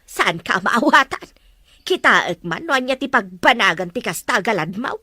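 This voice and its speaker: A woman's voice: a little high, big pitch swings, moderate pace, bright, forceful tone, husky, no echo, studio quality, exaggerated voice. A woman acting a scolding, disapproving mother in a drama. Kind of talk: Ilocano-language drama dialogue.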